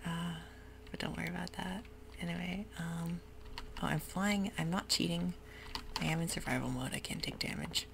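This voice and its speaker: A woman whispering ASMR gameplay commentary in a soft, rambling way with many ums. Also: on the low side, steady tone, moderate pace, creaky, noisy background. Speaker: gaming ASMR host.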